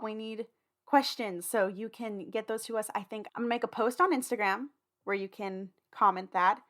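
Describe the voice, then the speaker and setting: A woman's voice: medium pitch, fairly bright tone. A woman podcast host speaking casually and informally to her listeners with announcements. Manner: chatty, informal.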